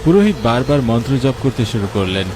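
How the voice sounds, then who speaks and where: A man's voice: mid-range voice, very animated, quick pace, over music. A man narrating a scripted horror story as its storyteller.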